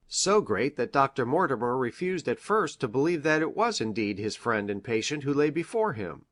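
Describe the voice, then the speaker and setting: Country doctor, male reader: earnest, formal, mid-pitched, measured storybook delivery. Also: fairly bright tone, lightly acted. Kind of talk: measured dramatic audiobook reading of formal prose.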